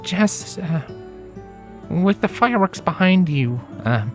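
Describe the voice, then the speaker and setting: A man's voice: high-pitched, animated, slow, faint music behind, voice pushed up. A man voicing a timid, easily flustered young male pony with a hesitant, stammering delivery in a story reading. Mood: awestruck, stammering.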